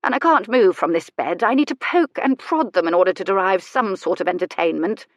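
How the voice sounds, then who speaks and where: A woman voicing an elderly aristocratic lady, sharp-tongued, theatrical and mischievous, in a storybook reading. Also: medium pitch, animated, brisk, no echo, clean studio sound, lightly acted. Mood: mischievous, scornful. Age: older. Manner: haughty, theatrical, dryly teasing.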